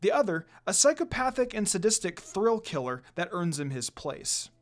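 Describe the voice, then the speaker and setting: A narrator reading a scripted fan fiction story aloud in an expressive storytelling style. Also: medium pitch, normal speed. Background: background music.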